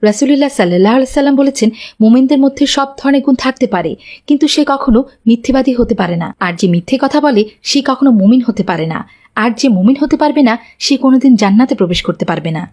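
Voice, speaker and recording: A woman's voice: high voice, animated, quick pace, a little mellow. A woman playing a stern but caring mother in a children's moral cartoon, scolding and preaching. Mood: stern, preachy.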